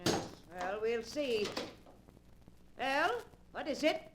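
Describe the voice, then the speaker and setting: Crusty, suspicious old aunt, noisy old radio. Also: female voice, a little high, big pitch swings, slow. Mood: indignant. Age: older. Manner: crusty, sharp, no-nonsense. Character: stern, suspicious old New England aunt. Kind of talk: 1940s radio acting. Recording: noisy vintage 1940s radio recording.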